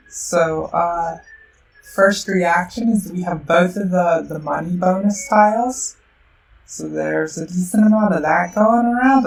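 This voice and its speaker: A woman streamer with a sore throat talks slowly and with a gravelly edge while she works through a board game out loud, full of ums and restarts. Her voice comes across a little robotic, and the mic sits back from her in a roomy space with a faint hiss behind. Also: lowish voice, far from the mic. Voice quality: slightly robotic-sounding voice. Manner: thinks aloud with many fillers and restarts. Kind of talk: casual live-stream game commentary.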